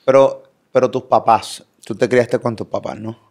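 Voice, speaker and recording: A Puerto Rican man in his thirties hosting a casual podcast interview in a studio, energetic and playful, talking with his hands. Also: mid-range voice, moderate pace. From Puerto Rico. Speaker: podcast host. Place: pink studio set. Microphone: boom studio mic.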